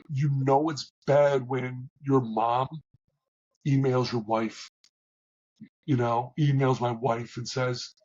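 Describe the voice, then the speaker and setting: A middle-aged man with a big booming voice, talking candidly as an interview guest, with many restarts and fillers. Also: a little mellow, breathy edge, lo-fi sound. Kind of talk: reflective conversational interview.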